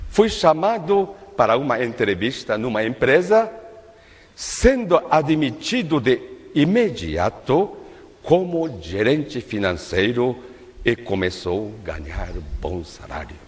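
An older man preaching a sermon at a lectern in a large worship hall, in a slow, measured, emphatic delivery. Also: mid-range voice, sing-song. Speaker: minister giving a testimony-based sermon. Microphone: lectern microphone. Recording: long reverb of a large hall.

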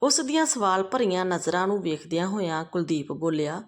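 A woman's voice: on the low side, fairly quick. A woman reading a scripted family moral story as a storyteller.

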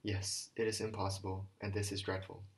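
A man reading an audiobook, voicing a proud teenage boy who speaks in hushed, clipped tones and snaps irritably. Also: sits low, monotone, fairly quick, a little hiss or hum.

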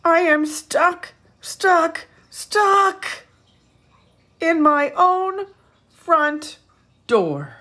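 A woman voicing a big, hungry male bear who wails in a high sing-song voice in a storybook reading. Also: animated, drawn-out words, long pauses, pushed and bright, exaggerated voice. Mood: wailing.

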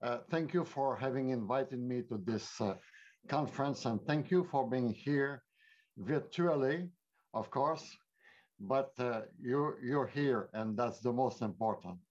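Quebec french accent, older guy lecturing slow and careful w lots of uhs, headset on a call, kinda dull muffled sound. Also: medium pitch, darkish tone. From Quebec City, Canada. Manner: measured, explanatory, with frequent fillers. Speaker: panelist, former minister. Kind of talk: formal bilingual lecture. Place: home office. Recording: muffled video call audio.

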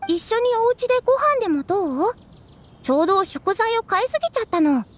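A woman playing a gentle, caring young village girl, a younger sister, softly spoken in an anime game. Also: extremely high pitch, big pitch swings, brisk. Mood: gentle concern.

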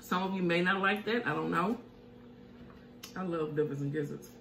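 A woman's voice: fairly low pitch, moderate pace, creaky, a bit bright. Folksy woman eating on camera. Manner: chatty, folksy, hums over food. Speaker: mukbang cooking show host. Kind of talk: casual chat while eating. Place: home kitchen, indoors. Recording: echoey room with faint hiss.

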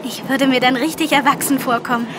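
A woman playing a polite, dreamy, excited little girl in a radio drama. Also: high-pitched, a little fast, lightly acted. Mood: dreamy, hopeful. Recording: background vehicle noise.

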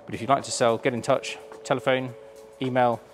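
A man's voice: medium pitch, flat delivery, a little fast, faint music behind. A man, chatty and enthusiastic, presenting a car auction preview in a large warehouse showroom. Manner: enthusiastic, chatty, filled with ums.

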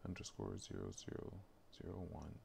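A male livestreamer with a very deep voice full of fry talks casually to himself while working at a computer. His delivery is halting and muttered. Faint background noise and keyboard clicking sit behind him. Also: sing-song, a little mellow. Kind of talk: casual think-aloud while working on game files.

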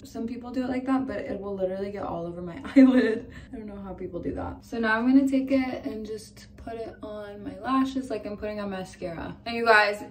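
A young woman chatting casually and informally to viewers as a beauty vlogger, sprinkling her talk with "like". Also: medium pitch. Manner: informal, chatty, frequent "like" and "you know". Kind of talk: casual chat to viewers while doing beauty routine. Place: at home in an apartment.

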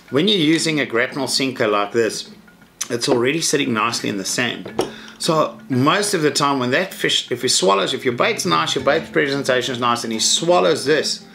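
A man's voice: mid-range voice, vocal fry, fairly bright tone. A middle-aged man, teaching a fishing rig step by step in a practical, matter-of-fact way from an indoor tackle room. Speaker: fishing instructor. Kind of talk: hands-on tutorial.

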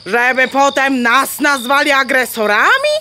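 A woman's voice: high voice, a little slow, pushed and bright, slight background noise. A woman playing a bossy, self-important hen diplomat in a children's audio play, lecturing pompously with clucks between words.

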